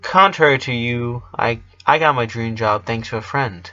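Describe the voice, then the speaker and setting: A man voicing a worried, caring female pony dress designer in a storybook reading, with a gentle, earnest, halting manner. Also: medium pitch, dull audio, natural voice. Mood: gentle, reassuring.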